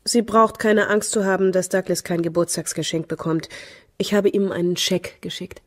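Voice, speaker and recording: An actress playing an emotionally detached woman with a fearful streak in a German radio crime drama, with a husky voice. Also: lowish voice, fairly even intonation, normal speed, close to an everyday voice. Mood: cold, detached.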